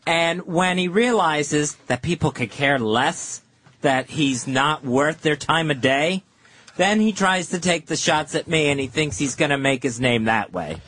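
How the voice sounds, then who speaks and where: A man's voice: fairly high pitch, animated, unhurried, a bit bright, slight background noise. A man co-hosting live talk radio in a studio, meek and hesitant, often rambling as he answers. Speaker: radio co-host.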